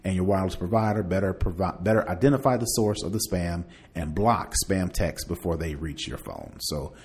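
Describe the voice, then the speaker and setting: A man's voice: deep voice, a little fast, darkish tone. A man hosting a podcast, chatting casually through tech news and partly reading aloud, in a conversational way. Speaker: podcast host.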